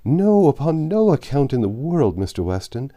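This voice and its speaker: A man reading a storybook, voicing a gentle, fretful elderly father who fusses anxiously and plaintively. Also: medium pitch, big pitch swings, normal speed, pitched above the performer's own voice, lightly acted. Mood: apologetic, anxious. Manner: fussy, plaintive. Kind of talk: audiobook character voice.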